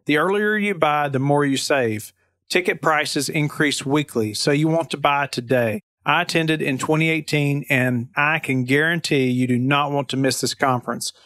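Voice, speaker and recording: A man's voice: fairly high pitch, moderate pace, fairly bright tone, dry recording, clean studio sound. A man reading a promotional spot in an upbeat, promotional delivery. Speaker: ad reader. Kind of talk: read advertisement.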